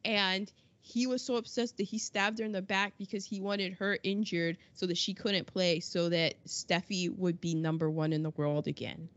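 A woman's voice: lowish voice, steady tone, normal speed, few pauses, lo-fi sound. A woman in her forties co-hosting a podcast, casually running through past events from her notes and acting out little quotes. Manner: chatty, quips and acts out quotes. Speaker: podcast co-host. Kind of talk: casual chat reading out history notes.

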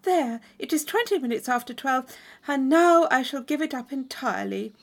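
A woman's voice: high-pitched, animated, moderate pace, creaky, pitched above the performer's own voice, exaggerated voice. A woman voicing an eager, anxious young lady from a storybook, earnest and given to dramatic exclamations. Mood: stubborn, then despairing.

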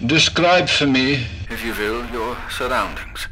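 An actor playing an eccentric, pompous doctor in a horror audio drama, speaking in a formal, clinical and theatrical manner. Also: male voice, medium pitch, unhurried, noisy background, close to an everyday voice. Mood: probing, polite. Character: eccentric, cold, pompous male doctor running experiments. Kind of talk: horror audio drama acting, recorded log narration.

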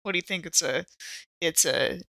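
Creaky, bright-voiced woman role-playing. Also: sits low, normal speed, no room sound. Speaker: tabletop role-playing game player. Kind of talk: in-character improvised role-play, conversational.

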